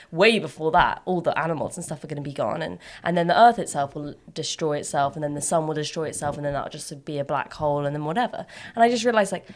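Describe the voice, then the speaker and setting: A young woman with a slightly nasal voice, chatting casually and rambling through stories with a podcast friend. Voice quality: slightly low, nasal from sinus problems. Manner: rambling, chatty storyteller who laughs mid-sentence. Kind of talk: casual chat between friends.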